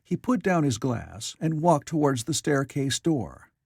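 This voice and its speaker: A man's voice: fairly high pitch, big pitch swings, unhurried, gravelly, darkish tone, breathy edge, no room sound, studio quality. A man reading classic literary fiction aloud as a scripted audiobook narrator.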